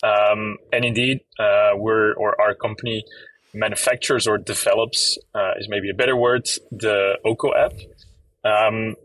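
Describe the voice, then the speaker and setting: Young Belgian man with a Flemish accent, low-pitched, chatty guest heard a bit off mic in a dry room. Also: unhurried, distant mic, low-quality recording. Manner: relaxed, many fillers, explains at length. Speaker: app company co-founder, guest. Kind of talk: casual interview.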